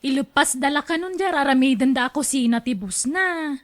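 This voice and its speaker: A chatty housewife, played by a woman talks in a conversational way in a radio drama. Her voice sits clearly high. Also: moderate pace, natural voice. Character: chatty wife of a working man. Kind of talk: Ilocano radio drama acting.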